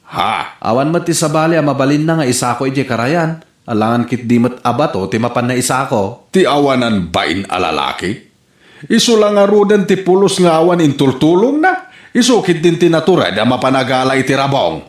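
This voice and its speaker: A man's voice: medium pitch, very animated, fairly quick, echoey room, close to an everyday voice. A man with an Ilocano accent playing an indignant, scolding village man in a radio comedy drama. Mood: indignant grumbling.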